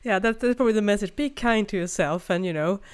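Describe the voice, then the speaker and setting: Smooth-voiced woman with a cold. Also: mid-range voice, quick pace. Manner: reflective, thoughtful, many "you know"s. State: has a cold. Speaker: podcast co-host. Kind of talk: casual chat.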